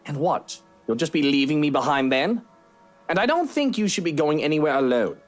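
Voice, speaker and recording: An actor playing an irritable, sarcastic and protective husband in a visual novel game, with a theatrical, complaining manner. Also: male voice, on the high side, animated, brisk, close to an everyday voice. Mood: irritated, sarcastic. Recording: game music behind. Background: music.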